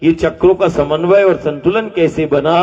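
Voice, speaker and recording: A man's voice: fairly high pitch, moderate pace, a little mellow. A man giving a devotional discourse to a gathering, rhetorical and repetitive, asking listeners to repeat lines back, recorded from a distance at an outdoor gathering. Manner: rhetorical, repeating phrases, asking the crowd to repeat after him. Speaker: spiritual teacher. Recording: dull distant live recording with long reverb.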